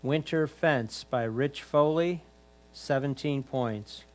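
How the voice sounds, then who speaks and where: A man's voice: medium pitch, slow. A man reading out titles and scores as a competition announcer, in a formal announcing style.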